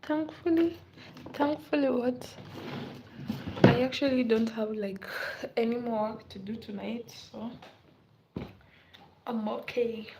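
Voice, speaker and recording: A tired, unwell woman in a casual live stream, greeting viewers and chatting softly with frequent laughs, sometimes dropping to a whisper. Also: a little high, fairly even intonation, slow, long pauses, heavy background noise. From Kenya. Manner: soft-spoken, laughs often, drifts into whispers. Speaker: livestreamer.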